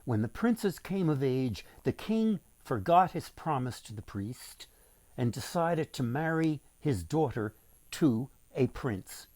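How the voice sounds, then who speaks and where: A man reading a folktale aloud as its author, with deliberate, dramatic phrasing for young listeners. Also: medium pitch, lively intonation, a little mellow, no room sound.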